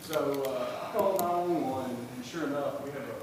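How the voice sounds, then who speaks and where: Man, loud and halting, recorded from far off in a noisy echoing hall. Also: medium pitch. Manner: halting, many fillers and restarts. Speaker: husband telling his story at a meeting. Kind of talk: personal account at a public meeting. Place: county board meeting room. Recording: echoey room, mic at a distance, noisy.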